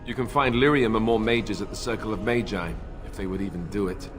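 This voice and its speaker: A wary young warrior, voiced by a man in a fantasy game, with a lowish voice. He sounds disapproving, with a dry edge. Music plays clearly under him. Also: fairly quick, close to an everyday voice.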